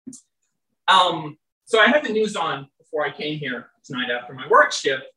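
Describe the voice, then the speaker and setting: A poet with a naturally loud, bright voice, trading wry, chatty asides before slowing into measured lines of their own verse. The sound is roomy and a little distant, picked up in an indoor venue where the speaker drifts off the stage mic. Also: medium pitch. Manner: wry, chatty between poems, slow and measured when reading. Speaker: poet reading own work. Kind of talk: live poetry reading with casual asides. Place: indoor venue with live audience. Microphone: stage microphone, often off-mic.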